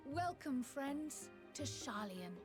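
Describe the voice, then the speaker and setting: A woman's voice: sits high, sing-song, slow, full character voice. An actress playing a small, self-assured female scholar and leader in a fantasy game, polite and articulate. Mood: friendly, playful. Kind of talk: scripted fantasy game dialogue. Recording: orchestral music behind the voice. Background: game music.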